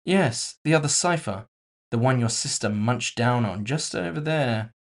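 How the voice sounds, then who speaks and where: A man's voice: medium pitch, lively intonation, measured pace. A man voicing a composed, villainous woman executive in audio fiction, casually polite, mocking and condescending. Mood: coolly dismissive. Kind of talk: audio fiction character dialogue.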